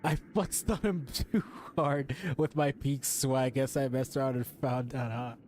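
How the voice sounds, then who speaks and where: A man's voice: medium pitch, fairly even intonation, measured pace, a little mellow, a little hiss or hum. A young adult man giving casual, slangy, sweary gameplay commentary with game music behind him. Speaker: game streamer.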